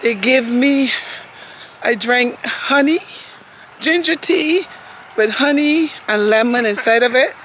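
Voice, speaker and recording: A middle-aged woman with a hoarse voice, chatting straight to her phone camera on a travel vlog. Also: fairly high pitch, takes it slowly, pauses often, a bit bright. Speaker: vlogger. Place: outdoors on a city street and in a park. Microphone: phone held at arm's length. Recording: street and traffic noise behind.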